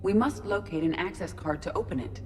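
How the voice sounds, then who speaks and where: A woman voicing a calm ship artificial intelligence in a video game, speaking in a measured, formal, analytical way. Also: lowish voice, over music, lightly acted. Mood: measured, matter-of-fact.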